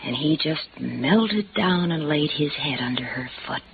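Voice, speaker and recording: A woman acting a wistful, bitter secretary in love, earnest and halting, in 1950s radio drama style. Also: low-pitched, animated. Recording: dull, noisy vintage radio recording.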